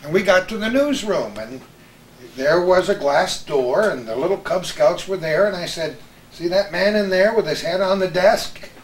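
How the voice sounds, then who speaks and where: Older American man, high-pitched voice, roomy sound. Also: expressive, moderate pace. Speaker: host, veteran radio broadcaster. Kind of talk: reminiscing, anecdotal chat with old colleagues. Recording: roomy echo with some background noise.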